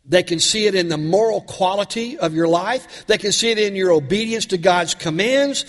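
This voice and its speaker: A male pastor, raspy from a cold, preaching a sermon with earnest questions to his congregation. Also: high voice, normal speed, long reverb tail.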